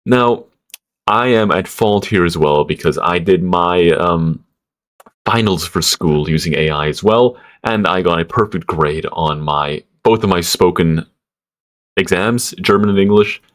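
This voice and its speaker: Young guy from switzerland, low voice, rambly late night rant, lots of "like". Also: a little slow. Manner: rambling, stammering restarts, frequent "like", profane. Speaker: vlogger. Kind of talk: casual rant to camera.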